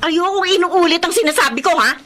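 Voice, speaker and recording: A woman's voice: very high-pitched, a little fast, background noise. A woman playing a blunt, commanding, no-nonsense elder sister in a radio drama. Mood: stern, upset. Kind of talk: Tagalog radio drama acting.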